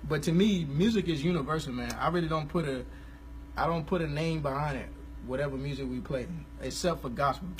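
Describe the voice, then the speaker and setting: A man, hosting a casual live stream about gospel music, talking in a relaxed, rambling, slangy way. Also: on the high side, fairly quick, a little hiss or hum. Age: 20s.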